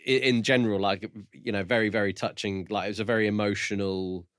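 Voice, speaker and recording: A man's voice: fairly low pitch, steady tone, quick pace, a bit bright, clear, resonant tone, no echo, studio quality. A man co-hosting a podcast, casually discussing a book in a tentative, musing way. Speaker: podcast host.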